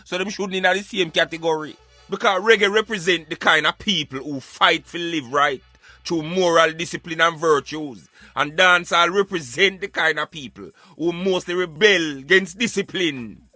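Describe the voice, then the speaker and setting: A man's voice: on the high side, normal speed, sharp tone, no echo, soft background music. A man with a Jamaican accent hosting a talk show, giving emphatic, preaching commentary in Patois. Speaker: radio show host.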